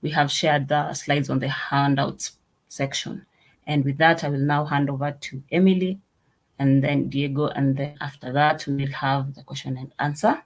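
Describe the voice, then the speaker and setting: A meetup host reading out speaker introductions in a halting way, with restarts and fillers. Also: medium pitch, level tone.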